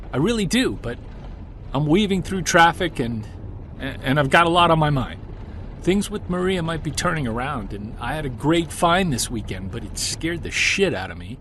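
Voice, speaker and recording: A stubborn Bigfoot hunter, talks defensively on the phone from behind the wheel. His voice sits a bit high and moves around a lot. It comes across as restless and quick to push back. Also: a bit bright, slight background noise. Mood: agitated. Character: driven adult male Bigfoot hunter, stubborn. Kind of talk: audio drama acting. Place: driving a car.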